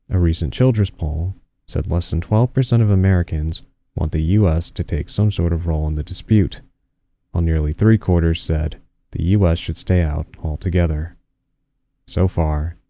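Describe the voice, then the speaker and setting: A male political correspondent, reports for radio in a sober, matter-of-fact way. His voice is very deep and extremely dark and mellow, with a relaxed edge. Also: dry recording. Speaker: news correspondent. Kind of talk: scripted radio news report.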